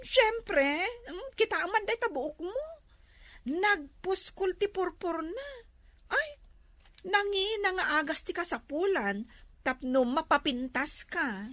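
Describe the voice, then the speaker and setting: A vain, giggly younger beautician with an Ilocano accent , acted by a woman. Her very high voice swings widely in pitch and takes its time. It is village comedy acting in a dry recording. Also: animated, slow, a bit bright, no echo, full character voice. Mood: coy, confident.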